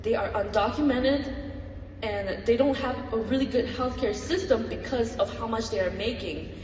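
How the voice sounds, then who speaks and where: A woman's voice: fairly high pitch, moderate pace, darkish tone, slight background noise. Brief: woman in her twenties, earnest student talk, distant mic, roomy reverberant hall. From Malaysia. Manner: earnest, reflective, with light laughs. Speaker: student speaker. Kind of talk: personal talk to an audience. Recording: echoey hall from far off.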